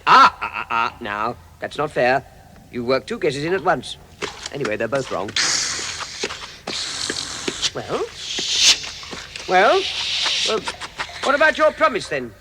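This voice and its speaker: Nervous fussy little fellow, stammers politely, very animated high voice, noisy old radio drama. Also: male voice, takes it slowly, pauses often. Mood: impatient. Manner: flustered, stammering. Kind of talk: vintage radio drama acting. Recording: very noisy vintage radio recording.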